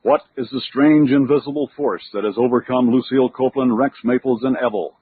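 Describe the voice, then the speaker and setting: A man's voice: medium pitch, husky. A man announcing a radio adventure serial in a dramatic, scripted old-time style. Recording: tinny band-limited radio broadcast, music behind.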